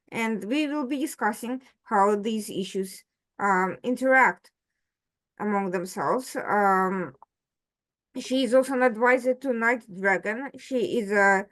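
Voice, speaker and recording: A woman presents an interview show as its host. Her voice sits at a middling pitch, and she speaks haltingly, pausing often as she finds her way through formal introductions and questions. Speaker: host, editor-in-chief of a news program.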